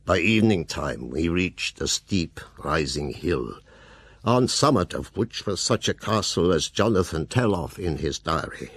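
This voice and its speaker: An actor playing a grave, fearful old professor who hunts vampires, narrating his journal solemnly in an audio drama, with a gravelly, husky voice. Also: extremely low pitch, no echo, full character voice. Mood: grave dread.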